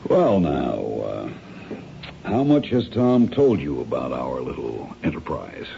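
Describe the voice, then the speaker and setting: An actor playing a polite, calculating masked crime planner in a radio drama, speaking in formal, measured, persuasive phrases. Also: male voice, rumbling low, very animated, gravelly, warm tone, exaggerated voice. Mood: courteous, hospitable. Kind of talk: 1970s radio drama acting. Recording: dull, noisy old radio drama recording.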